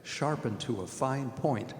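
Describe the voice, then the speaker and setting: A haunted, grave doctor played by a man recounts a gothic horror tale in measured radio-drama narration. His medium-pitched voice rings out in a hall with long reverb. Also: close to an everyday voice. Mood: haunted, dread. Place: performing arts center stage.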